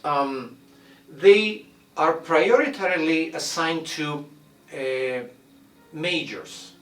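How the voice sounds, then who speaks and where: A middle-aged man from Argentina, a violin professor introducing his studio in a measured way, with many "uh" pauses as he searches for words. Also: fairly high pitch, fairly bright tone, far from the mic, soft background music. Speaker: violin professor and orchestra director. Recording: roomy echo, mic set back.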